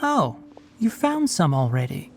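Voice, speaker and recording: A man voicing a young forest ranger in a video game, practical and knowledgeable, with a measured, instructive, lightly dry and teasing manner. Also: fairly high pitch, huge pitch swings, vocal fry, over loud music, heavily acted. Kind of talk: video game character dialogue.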